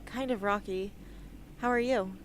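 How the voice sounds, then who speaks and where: A woman's voice: medium pitch, measured pace, a little hiss or hum, natural voice. A woman acting a defiant, earnest young rebel heroine in an amateur radio drama. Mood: subdued.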